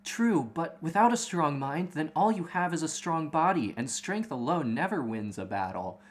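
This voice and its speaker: Woman reading as a measured, solemn mother. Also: low voice, expressive. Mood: solemn, advising. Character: solemn, protective mother advising young son.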